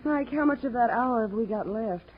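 A woman's voice: fairly high pitch, brisk, lightly acted. An actress playing a playful woman, a detective's teasing companion, in a 1940s radio drama. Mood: eager, playful. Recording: dull old radio recording, background noise.

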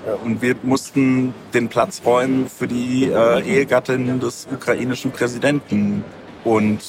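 A man speaking as a guest author in a casual interview, colloquial and wry in the way he talks. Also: mid-range voice. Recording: clearly noisy, ambient background noise.

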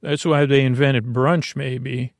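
Male sleep podcast host, gravelly and close-sounding in a dry space, meandering and halting through whimsical bedtime rambles. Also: medium pitch, little pitch movement, dry recording. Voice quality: creaky, gravelly. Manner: meandering, halting, repeats words and drifts into whimsical tangents.

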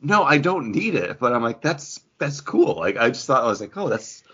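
A man's voice: mid-range voice, big pitch swings, moderate pace, fairly bright tone, husky. A man co-hosting a podcast in casual chat with friends, telling little anecdotes and quoting remembered exchanges. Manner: anecdotal, acts out remembered dialogue. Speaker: podcast co-host. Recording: dull, band-limited audio.

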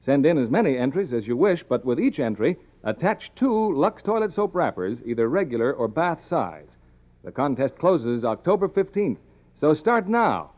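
An American man works as a 1950s radio announcer, reading scripted ads and credits. His pitch sits on the high side and swings widely. The broadcast sound is dull and lo-fi.

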